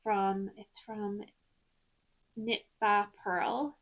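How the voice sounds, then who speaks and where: A woman in her thirties from Ireland with an Irish accent, chatting in a rambling, relaxed way with lots of ums for a knitting vlog. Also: mid-range voice, very slow, lo-fi sound. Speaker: knitting vlogger. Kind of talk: chatty podcast-style vlog.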